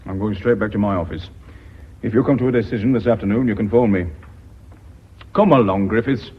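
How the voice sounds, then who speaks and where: An actor playing a ruthless, blunt businessman in British radio drama, speaking in a curt, menacing, deliberate way. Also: on the low side, husky. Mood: cold ultimatum. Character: ruthless, blunt businessman pressing a hostile takeover. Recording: slightly dull radio drama recording.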